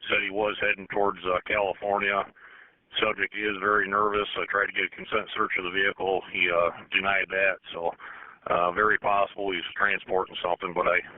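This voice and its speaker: A man's voice: deep voice, flat delivery, a little fast, no echo. A man working as a police patrol officer, talking in clipped procedural phrases over a two-way radio. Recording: narrow, phone-like police radio transmission.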